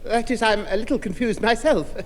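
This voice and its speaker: A man playing a prissy, pompous, easily flustered gentleman in a 1960 radio sitcom. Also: sits high, brisk, lightly acted. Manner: pompous, formal, stammering when confused. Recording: noisy echoing 1960 radio recording.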